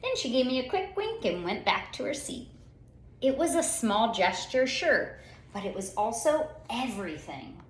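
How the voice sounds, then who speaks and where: A high-voiced woman reads a picture book aloud in a roomy, echoey space. Her delivery is theatrical and very lively in pitch, with dramatic pauses and stretched-out words for young listeners. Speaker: children's read-aloud storyteller. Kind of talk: expressive picture-book storytelling.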